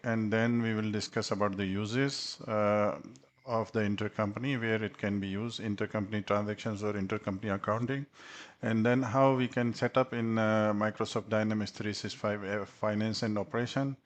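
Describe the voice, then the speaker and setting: Male instructor, lowish voice, step-by-step tutorial presentation, hesitant delivery with many pauses. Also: fairly even intonation. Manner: halting, frequent long hesitations. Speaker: software instructor. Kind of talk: tutorial presentation over slides.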